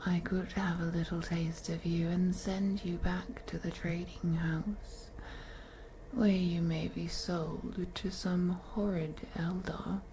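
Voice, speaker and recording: A woman playing a wealthy, possessive female vampire who toys with her prey in a soft, teasing, whispery voice for an intimate audio roleplay. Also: on the low side, level tone, a little hiss or hum, low-quality recording. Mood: possessive, menacing. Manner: slow, teasing, purring, often slipping into whispers.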